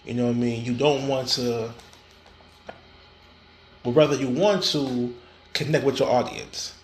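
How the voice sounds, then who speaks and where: A man's voice: mid-range voice, fairly even intonation, unhurried, a little hiss or hum. A man hosting his own podcast, talking casually and alone, with many restarts and repeated words. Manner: frequent fillers and restarts, stammering repetitions. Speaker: podcast host. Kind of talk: casual solo podcast talk.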